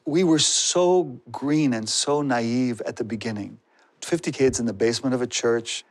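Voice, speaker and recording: A man's voice: medium pitch, moderate pace. A man recalling the early days wistfully in an interview.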